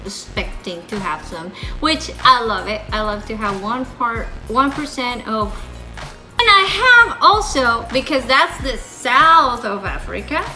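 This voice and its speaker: High-voiced Colombian woman, unhurried vlogger. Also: poor audio. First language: Spanish. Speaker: vlog host. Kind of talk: casual chat to viewers.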